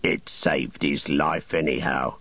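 A big orc soldier growls threats in a deep, gravelly voice, as a man voices him in a storybook reading. He speaks slowly and heavily. The sound is dull and dry. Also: steady tone, fairly bright tone, no echo, full character voice. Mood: menacing.

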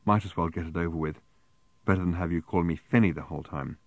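A man playing a self-possessed young woman in a storybook, with a brisk, playfully stern manner. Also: low-pitched, dry recording. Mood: teasing, stern.

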